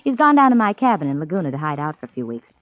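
Dry, tinny vintage radio sound, poised young lady, coy, lively intonation. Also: low voice, rapid-fire, no room sound. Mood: explaining. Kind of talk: 1940s radio acting.

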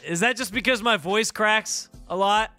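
A young man hosting casual sports talk radio, bantering quickly and poking fun at himself. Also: sits high, bright, forceful tone, soft background music. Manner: quick, self-deprecating banter. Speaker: radio host. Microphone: studio microphone.

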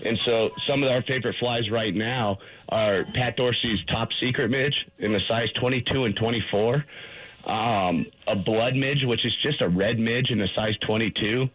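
A man's voice: mid-range voice, normal speed, fairly bright tone, breathy edge, poor audio. A man guesting on a radio show, eagerly explaining fishing techniques with many fillers. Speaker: fly shop guest, fishing instructor.

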